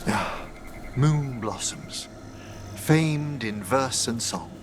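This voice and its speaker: A refined, lilting necromancer scholar. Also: male voice, mid-range voice, animated, noisy background. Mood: wistful, thoughtful. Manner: formal, eloquent, measured phrasing. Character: refined older male necromancer scholar, gentle and wistful. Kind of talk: video game dialogue acting.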